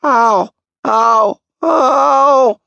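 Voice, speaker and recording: A man's voice: high voice, huge pitch swings, a little slow, no room sound, lo-fi sound, pitched above the performer's own voice, full character voice. A man voicing a small, grumbling male homebody who makes a show of his aches and complaints. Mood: grumbling, whiny. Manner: whiny, exaggerated complaining.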